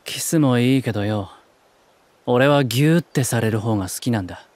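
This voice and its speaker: An actor playing a cool, blunt but secretly tender young warrior priest in a Japanese drama CD. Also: male voice, mid-range voice, brisk, no room sound, natural voice. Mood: tender, reflective. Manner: gruff wording, understated, soft-spoken tenderness.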